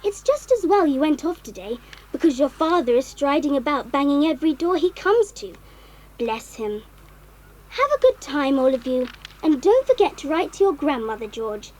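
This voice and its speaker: High, very animated child adventurer. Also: female voice, normal speed, rough recording. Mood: reading aloud. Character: forthright child adventurer, eager and plain-speaking.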